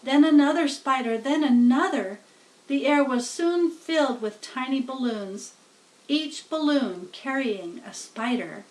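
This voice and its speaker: Female children's book narrator, slow and deliberate, higher-pitched, slightly off the mic. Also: lively intonation, distant mic, rough recording. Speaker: storybook reader.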